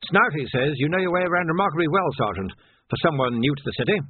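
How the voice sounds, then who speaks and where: A flustered police captain near seventy acted by a male audiobook reader, pitched high and swooping about. He is proud yet unsure of himself, blustering like an old soldier and then trailing into hesitation. The sound is thin, as if down a phone line. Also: expressive, fast talker, breathy edge, no echo. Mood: earnest, hesitant. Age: 60s. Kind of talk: audiobook character voice. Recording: narrow, phone-like band.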